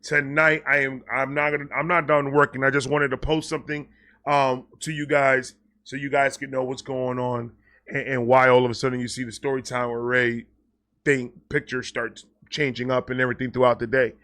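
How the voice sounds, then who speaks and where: A man talking casually and off the cuff to his viewers, excitable and rambling, often repeating and stammering over words, with slangy, sweary phrasing. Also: mid-range voice, moderate pace. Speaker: online video creator and host. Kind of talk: casual unscripted vlog talk to viewers.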